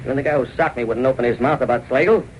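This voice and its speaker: A man's voice: medium pitch, racing, close to an everyday voice. An American actor playing a brash, hot-headed, wisecracking male newspaper reporter in an old-time radio drama, with a slangy, pushy delivery. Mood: indignant. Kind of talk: 1930s-40s American radio drama acting. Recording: dull, noisy old radio recording.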